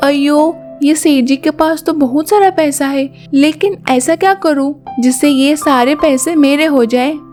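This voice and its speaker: A woman's voice: sits high, unhurried, few pauses, darkish tone, faint music behind. A woman voicing a greedy, scheming housemaid with a sly manner in a moral story. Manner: sly, plotting.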